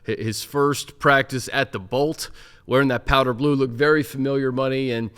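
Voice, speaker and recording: A man's voice: mid-range voice, steady tone, moderate pace, fairly bright tone, smooth. Man hosting a sports podcast. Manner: conversational, steers the topic, reads off stats. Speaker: podcast host. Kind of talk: casual sports podcast talk.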